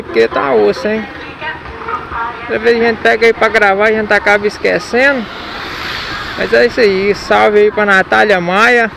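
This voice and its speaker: Slangy young Brazilian motovlogger, gravelly and high. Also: male voice. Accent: Brazilian Portuguese, Minas Gerais. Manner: slangy, swears at drivers, irritated outbursts. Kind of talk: casual running commentary while riding. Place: city streets outdoors on a motorcycle. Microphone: helmet or action camera mic. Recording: loud road and engine noise.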